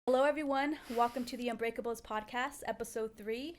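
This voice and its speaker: A woman's voice: on the high side, fairly even intonation, fairly quick, over loud music. A woman hosting a podcast, speaking in a conversational interview style. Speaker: podcast host.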